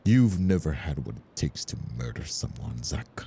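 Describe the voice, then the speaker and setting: A man acts out a menacing mob boss who is a coward when cornered. His voice is low and gravelly and swings widely as he taunts, in theatrical audio drama style. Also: big pitch swings, normal speed, dark tone, exaggerated voice. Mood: taunting. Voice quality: gravelly, husky. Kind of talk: dramatic audio role-play acting.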